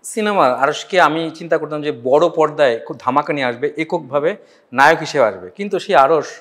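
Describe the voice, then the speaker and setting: A man with a Bangladeshi accent and a fairly high voice hosts an interview. He speaks conversationally and builds long, meandering questions. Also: lively intonation, a little fast, a bit bright. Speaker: interviewer, show host.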